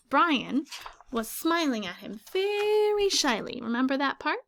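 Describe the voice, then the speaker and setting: A woman teacher reading a children's picture book aloud, pausing to ask her listeners questions about the story. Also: fairly high pitch, a bit bright, a little hiss or hum.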